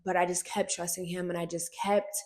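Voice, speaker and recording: Animated woman telling her story. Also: lowish voice, fairly quick, a loud crowd. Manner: animated, emphatic storytelling, often repeats phrases. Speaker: actress. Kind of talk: casual personal testimony to camera. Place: on a film set.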